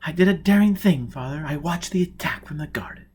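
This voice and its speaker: A girlish, breathless young lady of a wealthy house in an audiobook, played by a man. The pitch is lifted and very lively, with dramatic, enthralled delivery. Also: a little fast, breathy edge. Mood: breathless excitement. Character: sheltered, excitable rich young blind daughter, enthralled by danger.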